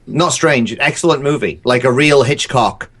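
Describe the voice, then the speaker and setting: Male podcast guest, average pitch, informal film talk, hesitant phrasing. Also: moderate pace, a bit bright. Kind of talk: casual film chat.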